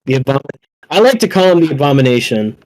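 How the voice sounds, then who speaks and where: A man chatting casually as a podcast panelist, excitable and slangy, with profanity thrown in. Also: medium pitch, quick pace, dry recording, poor audio. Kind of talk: casual chat.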